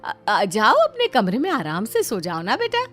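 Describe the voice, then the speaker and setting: A woman's voice: on the high side, huge pitch swings, normal speed, fairly bright tone, soft background music. A woman voicing a middle-aged mother-in-law in a family story, caring and hesitant yet slyly demanding. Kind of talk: dramatized Hindi family storybook.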